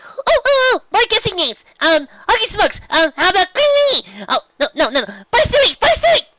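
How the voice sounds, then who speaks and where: Silly excitable pony girl, way up high, stammering and babbling, bit lo-fi. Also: brisk, no room sound, full character voice. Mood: giddy, excited.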